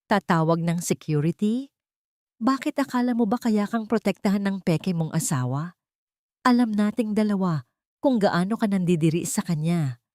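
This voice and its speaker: A woman's voice: mid-range voice, animated, normal speed, darkish tone, no echo, studio quality, natural voice. Woman voicing a venomous, boastful ex-lover man. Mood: menacing, mocking. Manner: taunting, sneering.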